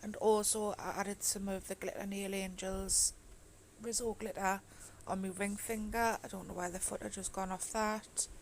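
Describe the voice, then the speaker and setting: A woman talking through a home nail tutorial in a casual voice-over, husky and half-whispering, as she is coming down with a cold and losing her voice. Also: mid-range voice, slight background noise, rough recording. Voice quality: gravelly, often slips into whisper.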